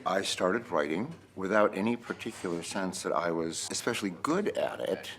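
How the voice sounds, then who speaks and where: A man speaking as a novelist, reflective and deliberate, pausing and stressing key words. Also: deep voice, expressive, a crowd in the background. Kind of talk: reflective interview and reading from own prose.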